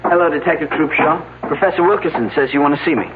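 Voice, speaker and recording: A man's voice: a little high, quick pace, background noise, close to an everyday voice. An actor playing a polite, earnest and easily flustered young male student in a 1970s radio drama. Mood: puzzled, defensive. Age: young adult. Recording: muffled, phone-like old radio broadcast.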